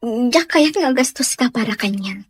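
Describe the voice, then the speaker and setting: An actress with a Filipino accent playing a sweet, affectionate young student in love in a radio drama. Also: female voice, fairly high pitch, animated, normal speed, slightly rough, no room sound.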